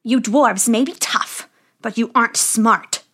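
A woman's voice: fairly high pitch, unhurried, fairly bright tone, slight background noise. A woman voicing a vain, power-hungry wicked witch in a fairy tale, gloating and commanding. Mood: smug menace.

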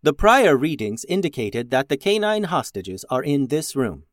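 A man voicing Number One, a strong, clever robot who speaks in a flat, precise and formal way, in a storybook reading. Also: medium pitch, moderate pace, no echo, studio quality, lightly acted. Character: calm strong male robot navigator.

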